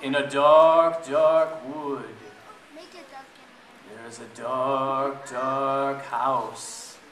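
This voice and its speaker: A man reading a picture book aloud to a group of children in a playful, chatty way, pausing to ask them questions. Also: mid-range voice, steady tone, a bit bright. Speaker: storybook reader to children. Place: echoey room with an audience of families. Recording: roomy, distant event recording with audience noise.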